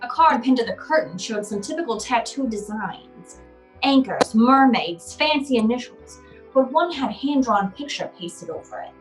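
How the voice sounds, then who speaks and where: A woman's voice: a little high, animated, moderate pace, faint music behind, close to an everyday voice, poor audio. A woman playing a cynical, wry private eye, curt and hardboiled, in an old-time radio noir drama. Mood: wry narrating.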